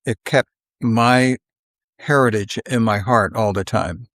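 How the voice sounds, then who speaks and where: An older man reminisces conversationally, in halting, unhurried phrases, often acting out bits of dialogue. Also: on the low side, fairly bright tone, no room sound, clean studio sound. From South Philadelphia, United States. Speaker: podcast guest.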